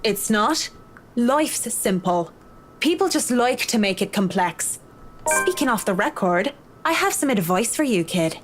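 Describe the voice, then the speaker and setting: A woman's voice: on the high side, very animated, unhurried, faint music behind. An actress voicing a blunt, sardonic, worldly career woman in a visual novel game. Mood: stern, advising.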